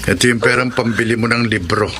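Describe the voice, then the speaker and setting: A man's voice: medium pitch, steady tone, fast, noisy background, close to an everyday voice. A man with a Filipino accent playing a kind, concerned uncle, earnest and advising, in a radio drama. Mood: concerned, gentle. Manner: earnest, avuncular.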